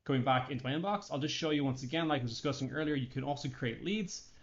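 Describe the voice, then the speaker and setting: A man narrating an unscripted software walkthrough, explaining each action step by step as he goes. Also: medium pitch, quick pace, lo-fi sound. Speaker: software tutorial presenter.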